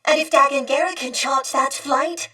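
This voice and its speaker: A woman voicing a polite, warm, old-fashioned female droid in a video game, with prim, formal and earnest diction. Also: high voice, steady tone, normal speed, distant mic. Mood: wistful. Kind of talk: video game character acting.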